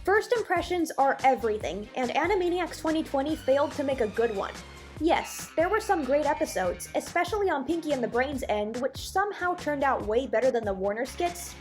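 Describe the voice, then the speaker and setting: A woman with a high-pitched voice delivers a blunt, opinionated review as a video host. She sounds emphatic and disgruntled. Light music plays underneath her. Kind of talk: opinionated video review, part scripted narration and part off-the-cuff commentary.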